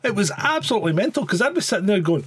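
A Scottish man with a husky edge and a fairly high voice co-hosts a casual live chat. He tells things in a rambling, sweary way with dry humour. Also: big pitch swings, very fast, dry recording. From Scotland. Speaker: live stream co-host.

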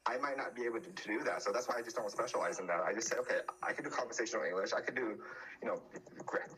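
A man's voice: on the low side, racing, pushed and bright, poor audio. A man chatting casually as a podcast guest, often restarting his sentences. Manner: hesitant, many restarts and fillers.

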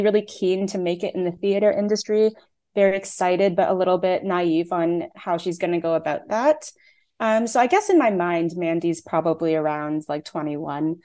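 A woman's voice: mid-range voice, dark tone. A young woman in her twenties from Canada, a playwright and actor, chatting through interview answers with frequent "um" and "like" fillers.

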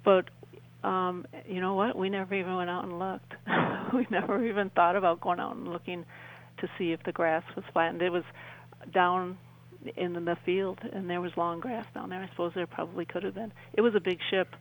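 An older woman, around her sixties, talking as an interview guest in a halting, rambling way and often rephrasing mid-sentence. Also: on the low side, fairly even intonation, low-quality recording. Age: older, about 60s. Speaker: interview guest, UFO experiencer. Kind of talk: conversational radio interview storytelling.

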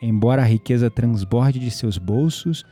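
An actor playing an intrigued, gently teasing young Brazilian man in an audio drama. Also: mid-range voice, normal speed, soft background music, close to an everyday voice. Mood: solemn reading.

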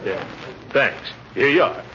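An actor playing a pompous, greedy, easily flustered uncle in 1940s radio comedy, hemming and chuckling, on an old recording. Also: male voice, mid-range voice, expressive, measured pace, pushed and bright, muffled recording, slight background noise. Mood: sheepish, indulgent. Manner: hemming, stammering, chuckling bluster.

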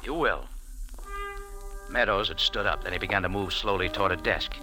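An actor playing a tough, sardonic American cafe owner and amateur sleuth in 1940s radio noir style. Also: male voice, low-pitched, normal speed, lightly acted, rough recording. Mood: dry, sardonic. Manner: hardboiled, sardonic, dry. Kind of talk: 1940s radio noir acting and first-person narration. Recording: old radio recording with music behind.

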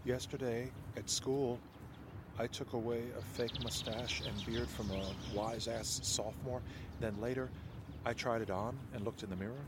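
An actor playing a kind, fussy schoolteacher husband who talks in a soothing, earnest way. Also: male voice, medium pitch, fairly even intonation, normal speed, noisy background, natural voice. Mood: chatty amused. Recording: outdoor birdsong and ambience. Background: birds, outdoor ambience.

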